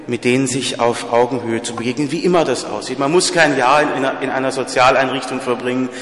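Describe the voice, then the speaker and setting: A man preaching a sermon in a plain, earnest way, with a slight stutter and repeated words. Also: medium pitch. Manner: earnest, plain-spoken, rising to emphatic peaks. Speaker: priest. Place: church. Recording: echoey church hall.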